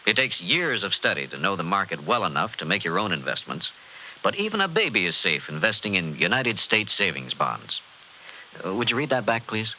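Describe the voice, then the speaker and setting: Office boss, low pitch, animated, polite halting dictation, vintage radio ad, faint hiss. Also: male voice. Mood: businesslike. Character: businesslike boss dictating to his secretary. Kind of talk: 1960s radio advertisement. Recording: faintly hissy old radio recording.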